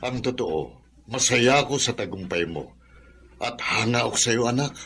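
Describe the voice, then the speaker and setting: A man's voice: mid-range voice, lively intonation, normal speed, fairly bright tone, husky. An actor with a Filipino accent playing a sincere, emotional middle-aged father in a radio drama. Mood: proud, warm. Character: remorseful, proud father of a grown son.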